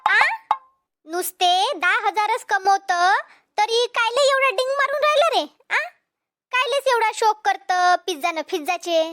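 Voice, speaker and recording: A woman's voice: very high voice, expressive, a little slow, bright, forceful tone, soft background music, full character voice. A woman voicing a nosy, wheedling village housewife in a cartoon comedy, with a Vidarbha Marathi accent. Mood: nosy, amazed. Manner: wheedling, badgering. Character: pleading, nosy village housewives haggling for pots.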